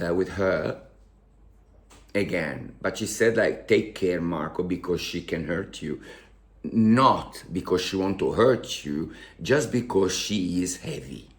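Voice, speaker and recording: A man with an Italian accent telling a personal story to his viewers in halting, emotional English, repeating words as he searches for them. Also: sits low. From Italy. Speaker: vlogger. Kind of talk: casual storytelling to camera.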